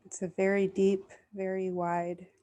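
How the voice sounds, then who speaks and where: A woman leading a guided meditation in a gentle, soothing, unhurried way, leaving long pauses. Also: medium pitch, little pitch movement, highs cut off.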